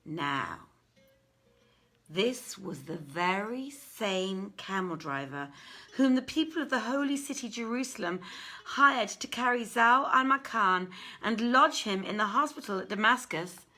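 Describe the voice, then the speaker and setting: A woman reading an old literary tale aloud in a theatrical, measured and deliberate manner. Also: mid-range voice, animated, bright, forceful tone, faint music behind. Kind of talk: dramatic literary story reading.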